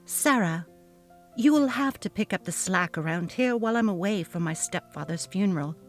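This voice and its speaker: A woman's voice: mid-range voice, expressive, moderate pace, faint music behind, close to an everyday voice. A woman voicing a haughty, narcissistic female office manager who talks down to her staff, in a dramatically read story. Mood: condescending. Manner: haughty, cutting, demanding. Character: snobby, imperious, narcissistic female office manager, cold and bullying. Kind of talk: dramatic reading of a text-message story.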